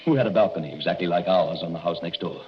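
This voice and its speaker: An actor playing a protective young husband in 1940s radio drama, urgent and clipped, on a muffled, noisy old recording. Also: male voice, sits low, racing, natural voice. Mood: tense, grim. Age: young adult.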